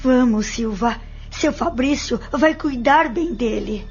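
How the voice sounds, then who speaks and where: A woman's voice: on the high side, slow, soft background music. A Brazilian woman playing a caring, anxious mother in an audio drama, polite and tender in her concern. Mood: urgent, polite. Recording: dull lo-fi audio.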